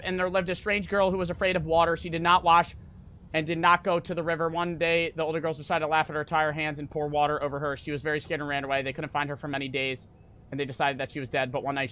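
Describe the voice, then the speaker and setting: A young man streaming a horror game, panicking, swearing and yelling in fright while reading notes aloud. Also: a little high. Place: small room at home. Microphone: desk mic on stand.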